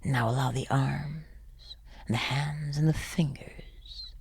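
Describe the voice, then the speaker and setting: A woman leading a guided sleep meditation in a soothing, hushed and breathy voice, leaving long pauses. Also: extremely low pitch, steady tone. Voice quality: breathy, husky.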